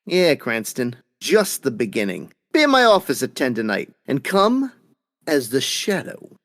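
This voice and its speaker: A man's voice: mid-range voice, wildly swooping pitch, measured pace, a bit bright, exaggerated voice. A man playing a smug, scheming crime boss in an old-time radio drama, mock-polite and gloating as he threatens. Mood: commanding.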